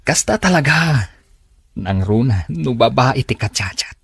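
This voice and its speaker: A man plays a bashful, lovestruck guy confiding in his buddy in a radio drama. His voice is very husky and rises and falls freely. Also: mid-range voice, lively intonation, unhurried, fairly bright tone, no echo, clean studio sound, full character voice. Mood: bashful, hopeful.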